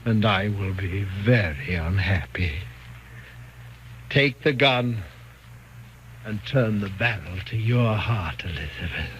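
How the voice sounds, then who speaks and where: An actor playing a domineering, murderous hypnotist in a 1940s radio thriller, slow, commanding and coaxing. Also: male voice, on the low side, expressive, a bit bright. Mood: menacing, controlling. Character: sinister, domineering male hypnotist with murderous calm. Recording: dull, noisy 1940s radio recording.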